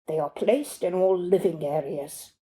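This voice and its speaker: Female reader as male butler, haughty, lecturing, florid, slow, mellow, audiobook story. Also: fairly low pitch, lightly acted. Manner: pompous, clipped, lecturing. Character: pompous, snappish English male butler, florid and condescending.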